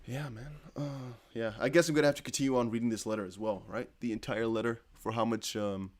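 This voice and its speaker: A man's voice: mid-range voice, fairly even intonation, fast. A young man whose first language is Dutch, rambling casually on a livestream and reading viewer comments aloud. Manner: rambling, self-correcting, chatty. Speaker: crypto livestream host.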